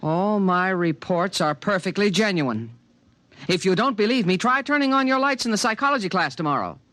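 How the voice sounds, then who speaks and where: A man's voice: high-pitched, expressive, moderate pace, fairly bright tone, no room sound. A man playing an earnest, fussy alien guardian in a 1950s radio drama, speaking in precise, formal, solemn pronouncements. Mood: urgent warning. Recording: vintage radio recording, faint music.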